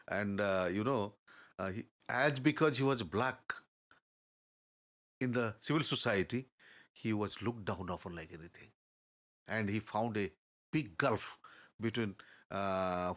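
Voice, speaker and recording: An older man, a guest telling stories in a halting, earnest way, often pausing to search for words. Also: lowish voice, fairly bright tone. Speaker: interview guest. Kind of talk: reflective storytelling in conversation.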